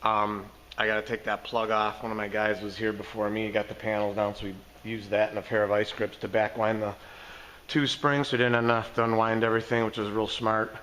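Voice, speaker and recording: Hoarse tradesman, low-pitched, folksy how-to talk, recorded on a phone in a parking garage with faint hum behind. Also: little pitch movement, brisk, fairly bright tone, rough recording. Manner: casual, folksy explaining. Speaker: garage door technician. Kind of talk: hands-on work walkthrough. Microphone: handheld phone camera.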